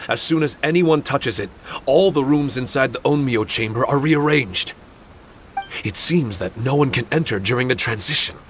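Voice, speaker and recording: A man's voice: mid-range voice, a little hiss or hum, natural voice. A man voicing a small, earnest, courteous paper spirit in a video game, formal and self-blaming. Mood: explanatory. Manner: formal, measured phrasing. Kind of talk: video game cutscene acting.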